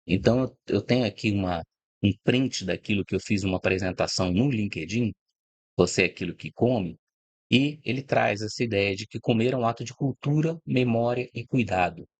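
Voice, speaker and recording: Brief: middle-aged Brazilian man, low voice, dry close recording, relaxed explainer who keeps checking the listener follows. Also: fairly quick, no echo. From Brazil, born in Rio de Janeiro. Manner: reflective, explanatory, frequent "né?" and "tá?" tags. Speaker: host of a channel about food and agribusiness. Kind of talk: informal spoken talk to camera.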